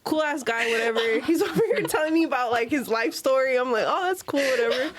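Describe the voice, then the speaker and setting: A woman tattoo artist, a podcast guest, telling stories in a fast, profane, casual way. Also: high voice, a crowd in the background. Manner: fast, profane storytelling with lots of "like".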